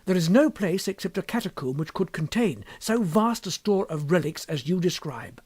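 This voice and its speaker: A man voicing an earnest, hot-tempered, wealthy young English gentleman in an audiobook story, speaking formally and emphatically. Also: sits high, lively intonation, normal speed, breathy edge, dry recording. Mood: earnest, insistent. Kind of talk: audiobook character dialogue.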